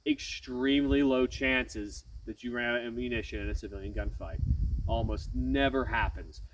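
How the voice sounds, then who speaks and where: Man with a cold, nasal and halting. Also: medium pitch, fairly even intonation, distant mic, slight background noise, low-quality recording. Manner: frequent "um" fillers and self-corrections. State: has a head cold. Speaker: channel host. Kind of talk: casual firearms explainer to camera.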